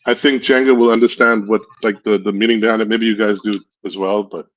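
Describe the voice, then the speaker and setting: A man's voice: lowish voice, steady tone, quick pace. A man with a Guyanese accent streaming and chatting casually, rambling through stories and laughing often. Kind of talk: casual chat. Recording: dull lo-fi stream audio.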